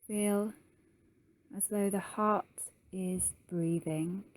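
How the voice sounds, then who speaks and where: A woman leading a guided yoga nidra meditation in a soft, soothing manner with long pauses. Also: mid-range voice, steady tone, dry recording.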